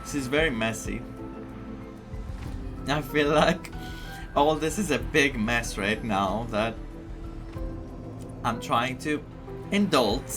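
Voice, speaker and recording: A woman's voice: a bass voice, takes it slowly, pauses often, music in the background. A woman from Greece, giving casual, wry and teasing commentary as she plays a story game. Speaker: gamer reacting to a story quest.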